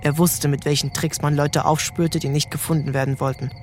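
A man narrating a literary story in a calm, measured way. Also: a little high, very noisy. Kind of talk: scripted narration.

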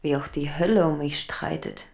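An actress playing an amorous, tender and possessive young queen in a German radio drama, in a breathy whisper. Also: low voice, fairly even intonation, normal speed, darkish tone. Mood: soft, possessive.

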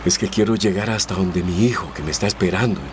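An actor playing a humble, desperate migrant labourer in a radio drama, middle-aged, hesitant and deferential. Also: male voice, deep voice, quick pace, slight background noise, close to an everyday voice. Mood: humble, hopeful.

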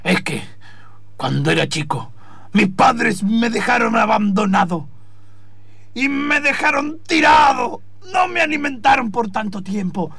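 Man acting vengeful villain, raspy, high-pitched, whiny, aggrieved, comic radio play. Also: animated, measured pace, fairly bright tone, dry recording, raised voice, exaggerated voice. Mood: tearfully bitter. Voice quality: raspy, strained. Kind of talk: improvised radio comedy acting.

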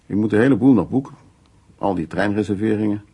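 An actor playing a worldly, lonely, insistent suitor in a naturalistic radio drama, musing and teasing in a husky, gravelly voice. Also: male voice, on the low side, quick pace, mellow, slight background noise, natural voice. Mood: teasing, persuasive. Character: worldly, insistent, lonely man courting a younger woman.

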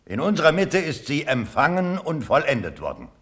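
An actor playing a loyal, frank old Prussian colonel in a classical German radio drama, respectful and declamatory. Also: male voice, a little high, wildly swooping pitch, normal speed, a bit bright, heavily acted. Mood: frank, defiant.

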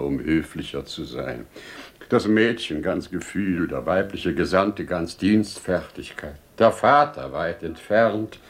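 An actor playing a wise, humane older merchant, warm and earnest, in 1950s radio-play style with theatrical, deliberate declamation. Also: male voice, sits low, full character voice. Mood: grateful, measured.

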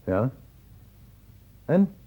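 An actor playing a persistent male doctor investigating an infection in a Dutch radio drama, questioning deliberately. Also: narrow, tinny audio, slight background noise. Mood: urgent, insistent.

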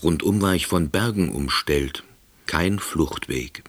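A middle-aged, pedantic first-person narrator in a scripted radio drama. His voice is deep and husky, delivered with dry, deadpan officiousness. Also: takes it slowly, exaggerated voice. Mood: wry, pensive. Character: wry, pedantic, laid-off East Berlin man narrating.

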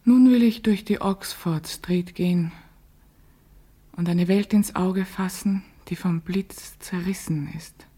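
A woman playing a grieving, dreamy woman in a literary radio play, speaking a solemn, lyrical monologue in a breathy, hushed voice. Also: fairly low pitch. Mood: hushed mourning. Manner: solemn, measured, lyrical delivery. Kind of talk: poetic interior monologue, German radio drama.

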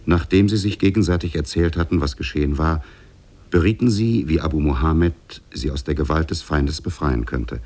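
A man narrating a fairy tale for 1950s German radio drama in a measured, solemn storytelling manner. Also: a bass voice, a little mellow.

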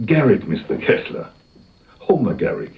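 An actor playing a smooth, wry go-between in a radio crime drama, speaking in a casual, teasing, sardonic way. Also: male voice, mid-range voice, big pitch swings, normal speed. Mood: cool, needling. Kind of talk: 1980s German radio crime drama acting. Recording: dull, band-limited radio play recording.

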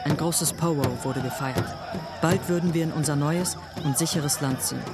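A man's voice: a little high, moderate pace, over a noisy crowd, close to an everyday voice. An actor playing an earnest young tribesman who tells his people's story in a Western radio drama. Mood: eager.

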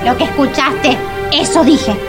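A woman's voice: high voice, measured pace, over loud music. An actress playing a bossy, blunt single woman with an Argentine accent, melodramatic in a comic radio drama. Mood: scolding. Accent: Argentine Rioplatense. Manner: scolding, melodramatic. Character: bossy, blunt, exasperated single female friend.

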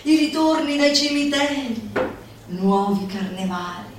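A woman's voice: sits high, expressive, unhurried, over loud music. Woman performing a pompous, zealous lecturer, heavy echo. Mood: mock-solemn relish. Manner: emphatic, pompous, mock-solemn. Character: zealous female lecturer preaching gleeful laughter at misfortune. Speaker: stage performer. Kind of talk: theatrical declamation of a comic manifesto. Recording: roomy echoing live venue, distant mic, faint noise.

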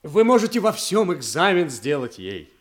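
An earnest young husband in a verse radio comedy. His high voice is courteous and emphatic, with theatrical, lively swings. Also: normal speed, slight background noise. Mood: confident, courteous.

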